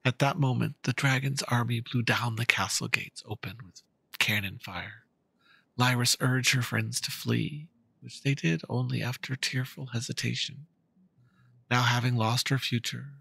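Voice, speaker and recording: Gravelly, husky male dramatic storyteller. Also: fairly low pitch, a bit bright, dry recording. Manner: solemn, theatrical. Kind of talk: dramatic scripted story narration.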